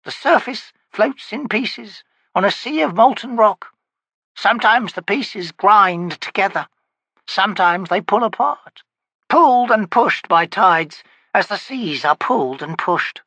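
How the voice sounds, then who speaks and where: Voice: mid-range voice, animated, a bit bright, dry recording, voice pushed up. A narrator voicing an ancient, cackling, toothless old woman who hisses and shrieks her cryptic answers. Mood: lecturing. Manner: hissing, shrieking, slow cryptic pronouncements.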